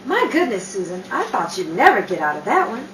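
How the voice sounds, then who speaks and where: A woman's voice: mid-range voice, sing-song, brisk, lightly acted. A woman playing a wry, teasing friend of a house seller in 1940s radio comedy style, with a sardonic drawl. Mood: amazed, teasing. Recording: roomy, distant mic, clear background noise.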